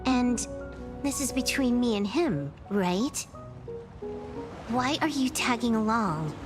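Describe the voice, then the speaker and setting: A brash young fighter in a game. She is blunt and confrontational, with very lively intonation. Music runs clearly behind her. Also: a little high, slow pace. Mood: defiant, challenging. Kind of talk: video game voice acting.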